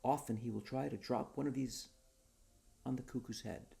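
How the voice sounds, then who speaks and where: A man's voice: medium pitch, steady tone, moderate pace, vocal fry, dark tone, voice pushed up. A man voicing an eager, whimsical wordsmith in a storybook tale, talkative and theatrical like a salesman. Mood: proud, whimsical. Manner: theatrical, earnest salesman patter.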